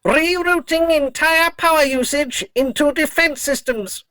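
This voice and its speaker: A woman's voice: high-pitched, unhurried, bright, forceful tone, no room sound. A woman playing a polite, loyal robot dog in an audio drama, speaking in clipped, formal, literal phrases. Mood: dutiful, matter-of-fact.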